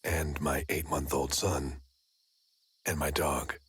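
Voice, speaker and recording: An actor playing a paranoid, self-important neighborhood watch man in a video game, raspy, terse and suspicious. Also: little pitch movement, slow pace, fairly bright tone, no room sound, exaggerated voice. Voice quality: very deep gravelly rasp.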